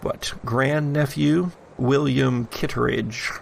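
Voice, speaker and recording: A man acting as game master, narrating a tabletop horror game with deliberate dramatic pauses. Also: medium pitch, fairly even intonation, gravelly, slight background noise.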